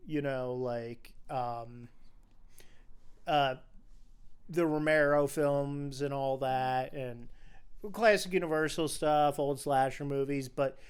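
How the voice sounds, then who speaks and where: A man's voice: medium pitch, steady tone, slow pace, clear, resonant tone. A young man hosting a casual film podcast, talking in an enthusiastic, rambling way. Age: young adult. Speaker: podcast host.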